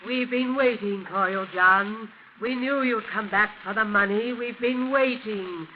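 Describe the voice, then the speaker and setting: An actress plays a vengeful old ghost mother from 1940s radio horror. Her words come very slowly, solemn and full of menace. The old recording is dull, with some noise. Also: mid-range voice, natural voice. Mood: vengeful, relentless. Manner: slow, solemn, ominous delivery.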